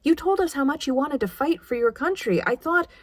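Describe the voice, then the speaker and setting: A woman's voice: high voice, expressive, a little fast, dry recording, studio quality, voice pushed up. A woman voicing an earnest, insistent young army nurse, eager and defiant, in an audiobook. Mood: bewildered, hurt.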